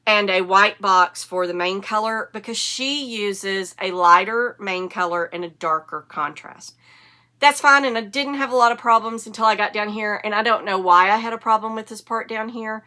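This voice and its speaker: A woman's voice: mid-range voice, pushed and bright. A woman, sniffly from a cold, hosting a casual knitting podcast in a chatty, enthusiastic, rambling way. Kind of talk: casual show-and-tell chat.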